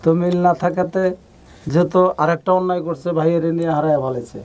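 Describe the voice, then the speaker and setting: A man's voice: on the high side, quick pace, mellow. A middle-aged or older man with a Bangladeshi accent recalling personal memories colloquially and repeating his points. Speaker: guest, relative in a family reunion. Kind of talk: personal recollection in conversation.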